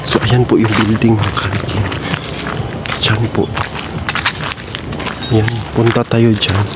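A young man vlogging as he walks through an abandoned building's grounds at night, talking haltingly with many fillers into a handheld camera. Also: on the low side, flat delivery, heavy background noise. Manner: hesitant, halting, with fillers and repeated phrases. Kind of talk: casual handheld walkthrough at night. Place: outdoors at night on overgrown grounds. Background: loud music bed.

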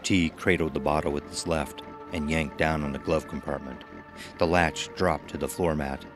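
A man tells a scripted horror tale in an extremely deep voice. Music plays clearly beneath him. His delivery is measured and dramatic, phrase by deliberate phrase. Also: fairly even intonation. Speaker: narrator of a horror anthology. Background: music under the voice.

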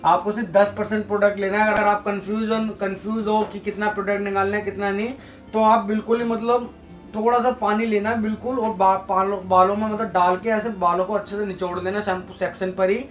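A man's voice: high voice, little pitch movement, brisk, soft background music, low-quality recording. A young man teaching a hair treatment step by step in a chatty tutorial, often calling out to his viewers. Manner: chatty, repeats "guys" and fillers. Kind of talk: casual tutorial explanation. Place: indoor studio with blue lights.

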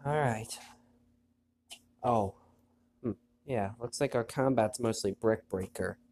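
A man narrates his play of a mobile game in an easygoing, chatty way, his voice thick with a stuffy nose. When story text comes up, he reads it aloud softly and lowers his voice. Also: slow, a little hiss or hum. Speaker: let's play host. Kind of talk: casual gameplay commentary, reading on-screen text aloud.

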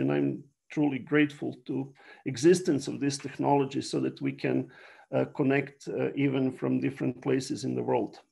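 A middle-aged man from Serbia with a Serbian accent, a native Serbian speaker, giving an explanatory online lecture with frequent halting pauses. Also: on the high side, vocal fry, darkish tone, breathy edge. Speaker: university biology lecturer. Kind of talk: online lecture to a general audience.

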